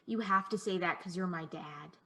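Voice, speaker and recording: A woman's voice: on the low side, normal speed, pushed and bright. A woman playing a sheltered, eager young daughter in a play performed as a video call, coaxing and insistent in a girlish way. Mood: curious, doubtful.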